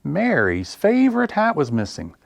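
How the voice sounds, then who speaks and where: A man hosting a story time for young children, reading aloud with deliberate, simple phrasing. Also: fairly high pitch, wildly swooping pitch, creaky. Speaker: library story time host.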